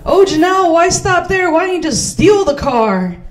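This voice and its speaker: A woman's voice: very high-pitched, very animated, normal speed. A woman in her thirties doing stand-up as host in a small comedy club, playful and self-mocking on a handheld mic.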